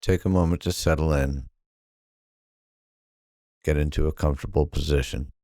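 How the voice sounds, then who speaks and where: A man narrating a guided sleep hypnosis in a calm, soothing, unhurried manner with long pauses. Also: very deep voice, no room sound, studio quality.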